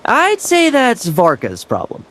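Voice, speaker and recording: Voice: medium pitch, wildly swooping pitch, unhurried, fairly bright tone, a little hiss or hum. A voice actor playing a sly young knight who speaks in a playful, wry, teasing way. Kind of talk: video game voice acting.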